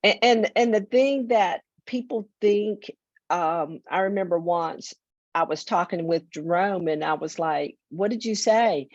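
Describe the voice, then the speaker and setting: A woman's voice: fairly low pitch, measured pace, dry recording. A woman co-hosting a talk show, speaking earnestly and often repeating words as she thinks. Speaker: co-host. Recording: dull dry sound.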